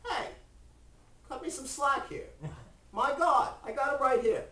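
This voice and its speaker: Man playing a stubborn little old man, high-pitched. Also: a little slow, bright, forceful tone, heavily acted. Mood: defiant. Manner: colloquial, pleading insistence. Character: stubborn, humble little old man, devoted to his idol. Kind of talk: spoken storytelling. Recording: roomy, distant mic with background noise.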